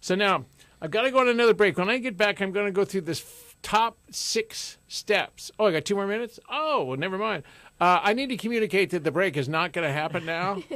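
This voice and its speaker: A middle-aged man hosting a radio talk show in a studio, talking loudly and forcefully with jokes. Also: high voice, expressive, brisk, no echo. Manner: loud, forceful, joking.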